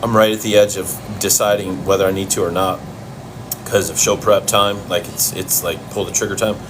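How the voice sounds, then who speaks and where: A man's voice: deep voice, flat delivery, normal speed, background noise. A man from Chicago hosting a casual livestream Q and A from home, blunt and profane, answering questions while he eats. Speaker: fitness livestream host.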